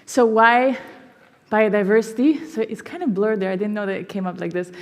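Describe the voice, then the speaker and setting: A woman from Belgium presents to an audience with eager energy, often saying "you know". Her voice sits at a middle pitch. Long reverb from the hall trails behind her words. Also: fairly even intonation, brisk. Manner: enthusiastic, fillers like "you know" and "no". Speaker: foundation presenter. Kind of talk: public presentation. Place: symposium hall.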